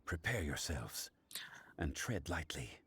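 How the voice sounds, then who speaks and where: A man voicing a calm, dignified, wise expedition leader in a video game, with measured and formal delivery. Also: very deep voice, slightly rough, no echo, heavily acted. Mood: grave warning.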